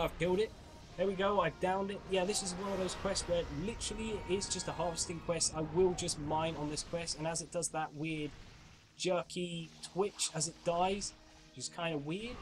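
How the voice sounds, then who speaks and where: A man's voice: high voice, noisy background. A young man giving chatty commentary while playing a game, explaining as he goes, his voice a bit off from a cold coming on. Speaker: gaming channel host. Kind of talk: casual gameplay commentary.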